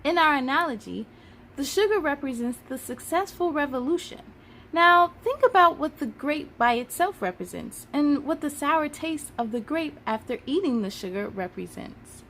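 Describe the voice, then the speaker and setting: A woman's voice: high-pitched, lively intonation, moderate pace, fairly bright tone, a little hiss or hum, voice pushed up. A woman voicing a patient, upbeat schoolteacher who instructs and encourages her class in a children's storybook. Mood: bright, encouraging. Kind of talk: children's storybook read-aloud.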